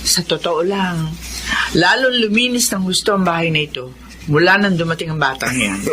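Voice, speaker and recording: A woman playing a kindly, chatty household woman in a radio drama, expressive and full of exclamations. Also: on the low side, moderate pace, slight background noise, lightly acted. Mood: amused admiring.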